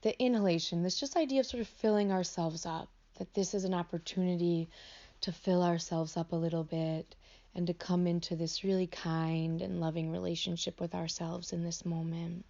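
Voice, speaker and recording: A woman with a fairly low voice leads a guided meditation. She speaks off the cuff, gently and a little hesitantly, phrasing each instruction as an invitation. The recording is slightly dull. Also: steady tone, moderate pace, gravelly. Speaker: meditation teacher.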